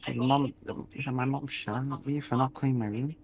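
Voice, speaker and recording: A man telling improvised stories casually, rambling and halting, often repeating his phrases. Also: mid-range voice, steady tone, a little mellow. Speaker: storyteller in a gaming video. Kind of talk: casual improvised storytelling while gaming.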